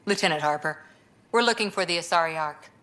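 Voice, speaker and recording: A woman's voice: on the low side, brisk, fairly bright tone, in a large hall, close to an everyday voice. A woman playing a tough, earnest young military lieutenant in video game cutscene dialogue. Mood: businesslike.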